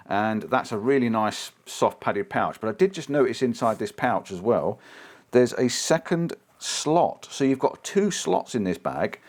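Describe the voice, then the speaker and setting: Man, casual gear-review vlogger, mid-pitched, chatty and repetitive. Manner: chatty, repeats himself, enthusiastic. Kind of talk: casual unboxing talk.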